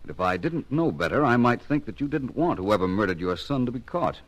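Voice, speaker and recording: A man's voice: mid-range voice, sing-song, a little fast, poor audio. A man playing a courteous, probing private investigator with formal, polished speech in an old radio drama. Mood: probing, pointed. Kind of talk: old-time radio detective drama acting.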